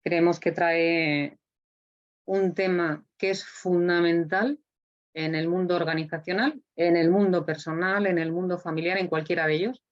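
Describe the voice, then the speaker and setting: A woman hosting an online talk, introducing a speaker with halting, deliberate phrasing and drawn-out words. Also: lowish voice, dull audio. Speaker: host introducing a guest speaker.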